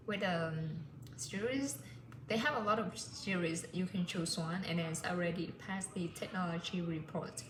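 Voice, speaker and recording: Chatty woman in an echoey room. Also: fairly low pitch. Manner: rambling, many fillers and "like". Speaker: language learner vlogger. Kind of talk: casual vlog chat. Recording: echoey room with clear background noise.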